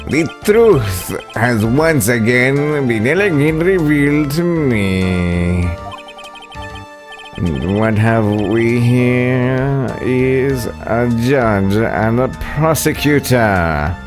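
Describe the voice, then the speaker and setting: A man acting out a pompous ace detective from a video game, very slow and grandly theatrical. His pitch sweeps up and down as he admires himself, over faint music. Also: animated. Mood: pompous, grandiose. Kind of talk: dramatic video game dialogue reading.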